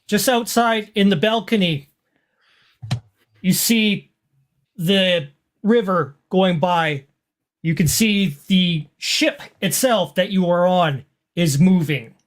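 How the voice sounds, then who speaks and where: A man narrating a tabletop horror roleplay as game master, describing scenes in a halting way. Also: high-pitched.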